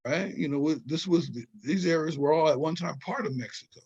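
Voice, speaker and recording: A man's voice: fairly high pitch, a little fast, darkish tone, breathy edge, dull audio. An older man from Southern California, a historian being interviewed, who talks in emphatic, digressive runs and keeps checking in with "right?" and "you know?". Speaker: guest, historian and author. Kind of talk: interview conversation.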